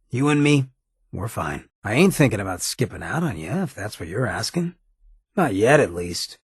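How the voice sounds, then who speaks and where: An actor playing a roguish, streetwise ghoul in a video game, with a very raspy, gravelly voice and a cocky, laid-back, slangy way of talking. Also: male voice, fairly low pitch, no room sound, studio quality, exaggerated voice. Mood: easygoing. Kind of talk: video game companion dialogue.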